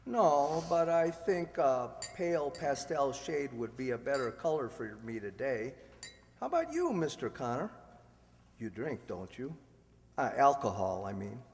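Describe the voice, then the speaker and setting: A man's voice: mid-range voice, sing-song, measured pace. A man playing a witty, needling, urbane ex-husband in an amateur radio play, sardonic and theatrical. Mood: breezy. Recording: echoey hall, long reverb.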